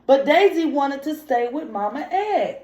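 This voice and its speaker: A woman's voice: high voice, very animated, a little slow, warm tone, a little hiss or hum. A woman teacher reading a picture story aloud to children and prompting them with simple comprehension questions in informal speech. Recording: echoey room.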